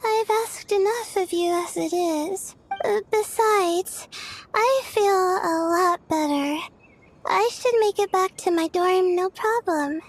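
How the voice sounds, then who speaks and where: A woman voicing a shy, drowsy young female student in a video game, talking softly and hesitantly with many pauses. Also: extremely high pitch, a little hiss or hum, full character voice. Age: young adult. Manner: hesitant, soft, many filler sounds. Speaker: sleepy student.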